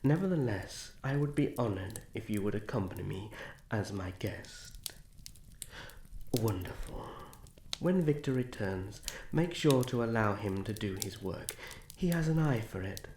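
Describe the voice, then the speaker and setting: A man playing a young elf prince in a fantasy ASMR roleplay, formal, soft-spoken, gentle and protective. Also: on the low side, animated, measured pace. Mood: gently concerned. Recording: roomy echo, faint background noise.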